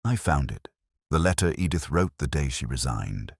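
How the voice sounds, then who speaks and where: A man's voice: very deep voice, big pitch swings, normal speed, creaky, slightly rough, no echo, studio quality, exaggerated voice. A man voicing a thin, resigned, secretive man in his forties who speaks in a tight, guarded way, in an audio mystery. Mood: bitter, guarded.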